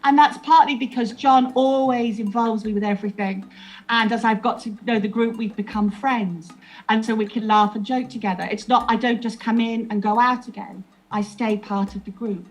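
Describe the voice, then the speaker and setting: Earnest woman, fairly high voice. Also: fairly even intonation, moderate pace, soft background music, poor audio. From England. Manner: earnest, restarts often. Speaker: storytelling trainer. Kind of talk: reflective interview answer.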